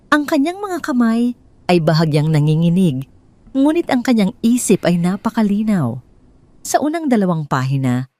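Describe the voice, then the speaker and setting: A woman with a Filipino accent narrating a scripted dramatic story in a measured, deliberate way. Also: fairly low pitch, a little mellow.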